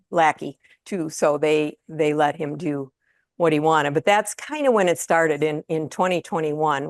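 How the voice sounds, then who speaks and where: A woman's voice: low-pitched, dry recording, clean studio sound. A retired older woman giving a casual lecture to an audience, with many fillers and restarts. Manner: conversational, frequent fillers and restarts. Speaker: guest lecturer. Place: lecture room.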